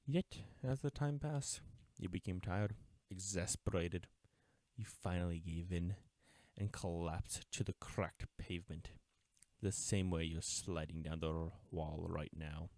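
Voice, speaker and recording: An actor playing an uptight government agent in an audio roleplay, speaking formally and deliberately, smug yet mourning a lost friend. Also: male voice, low-pitched, a little mellow, dry recording. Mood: cold, gloating. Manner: formal, deliberate, taunting with wistful undertones. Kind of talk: scripted audio roleplay drama, addressing the listener.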